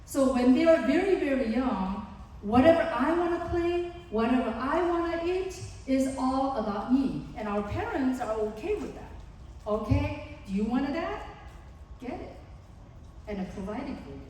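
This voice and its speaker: Korean-accented woman, seminar speaker, earnest and unhurried, higher voice, distant reverberant hall with faint background hum. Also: animated, a little mellow. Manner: earnest, slow and explanatory, acts out examples. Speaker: psychologist giving a parenting seminar. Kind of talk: seminar talk to parents. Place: large conference hall.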